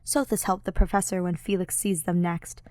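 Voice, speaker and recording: A woman's voice: on the low side, little pitch movement, moderate pace, a little mellow, no room sound, clean studio sound. A woman reading scripted story narration as an audiobook narrator.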